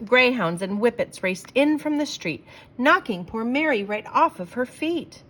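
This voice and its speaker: A woman reading rhyming verse aloud for children in an expressive, theatrical storytelling way, leaning on the rhymes. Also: on the high side, unhurried.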